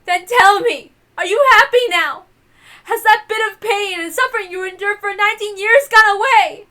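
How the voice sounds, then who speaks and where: A woman's voice: extremely high pitch, normal speed, sharp tone, pitched above the performer's own voice. A woman voicing an anguished married mother in a visual novel, confessing with dramatic, sobbing grief. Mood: bitter, desperate.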